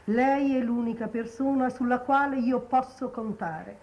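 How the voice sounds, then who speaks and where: An actress playing a cold, commanding old marchioness in a 1950s Italian television period drama, with a haughty, measured delivery. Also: female voice, fairly high pitch, fairly even intonation. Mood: grave, measured. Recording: dull 1950s TV soundtrack.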